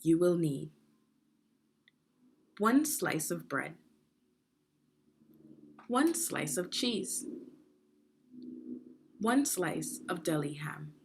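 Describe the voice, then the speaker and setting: A woman reading aloud as a teacher for young children, explaining things deliberately and checking in with questions. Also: on the low side, sing-song, vocal fry, a little hiss or hum.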